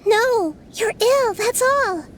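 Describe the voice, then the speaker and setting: A woman voicing a gentle, childlike little goddess in a video game, earnest and composed. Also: very high-pitched, expressive, slow pace, background noise, full character voice. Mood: gentle, pleading.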